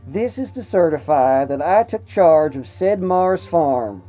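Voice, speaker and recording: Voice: mid-range voice, expressive, a little slow, mellow, soft background music, lightly acted. A performer playing a plain-spoken nineteenth-century farm witness, reading written testimony expressively. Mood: firm, insistent.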